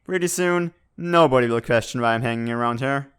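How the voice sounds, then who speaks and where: A man's voice: mid-range voice, fairly quick, fairly bright tone, clean tone, natural voice. A man voicing a goofy but dutiful bird spy in a comic dub, deferential and colloquial in his speech. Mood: sheepish, animated. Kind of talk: dramatic fan-dub comic acting.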